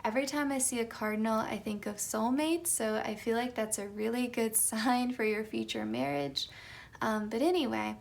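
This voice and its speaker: Young woman, tarot reader, chatty, raspy morning voice, fairly high pitch. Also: moderate pace. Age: young adult. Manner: chatty, light laughs, soft whispered card calls. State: just woken up, slightly raspy. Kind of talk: casual pick-a-card tarot reading.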